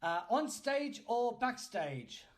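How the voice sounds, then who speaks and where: Lively, teasing man hosting. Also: very high voice, slow. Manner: teasing, jokey. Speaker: podcast host. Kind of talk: casual quick-fire interview chat.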